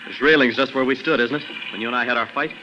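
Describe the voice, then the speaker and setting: A man's voice: mid-range voice, very fast, natural voice. A man playing a bitter, suspicious young man in a 1940s radio drama, blunt, insistent and confrontational. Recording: noisy vintage 1940s radio recording.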